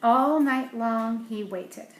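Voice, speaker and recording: A woman reading a picture book aloud to children, dropping to hushed asides about the pictures between livelier read lines. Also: on the high side, takes it slowly. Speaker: storybook reader. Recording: roomy echo, faint background hiss.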